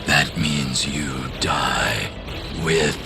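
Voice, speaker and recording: Very deep, gravelly warlord boss. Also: male voice, full character voice. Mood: slow menace. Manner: slow, deliberate, threatening delivery. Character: menacing superhuman warlord boss, slow and imperious. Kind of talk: video game cutscene acting. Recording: loud music behind the voice. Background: loud music.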